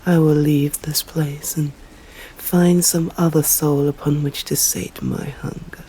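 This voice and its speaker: A proud, hungry female vampire, played by a woman speaks with an English accent at a slow, deliberate pace. Her voice is dark and soft, formal and often whispered, in an intimate ASMR roleplay with faint background noise. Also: deep voice, level tone, poor audio. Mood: intrigued, menacing. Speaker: voice actor. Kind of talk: ASMR roleplay, scripted dramatic monologue.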